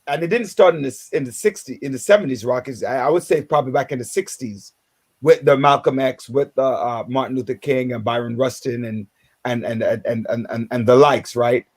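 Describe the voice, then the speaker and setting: A man in his fifties from Jamaica with a Jamaican accent, hosting a radio talk show in a halting way, with many restarts and filler words. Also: medium pitch, darkish tone. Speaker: radio host.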